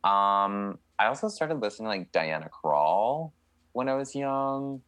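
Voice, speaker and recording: Low-voiced young man, chatty and candid. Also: sing-song, measured pace, fairly bright tone, dry recording. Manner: chatty and candid, many likes and fillers. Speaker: guest, country singer. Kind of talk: casual interview answers.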